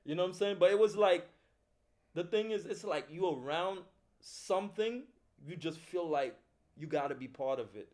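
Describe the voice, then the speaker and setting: A man's voice: high-pitched, expressive, normal speed, darkish tone. A middle-aged man, a film producer talking casually and reflectively as an interview guest, using street slang. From Haiti.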